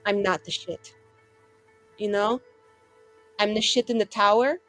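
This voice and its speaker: A woman's voice: medium pitch, measured pace, a bit bright, faint music behind, close to an everyday voice. A woman voicing a glamorous, sulky, ambitious young female mage in a dramatic, blunt, slangy way for a tabletop roleplaying game. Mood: frustrated, cocky.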